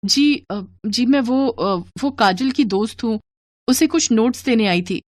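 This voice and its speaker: A woman playing an expressive young college girl in dramatic story dialogue. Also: a little high, measured pace, dry recording, studio quality. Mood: nervous. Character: young college girl, lovestruck, teasing, later frightened and pleading. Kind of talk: animated Hindi story dialogue.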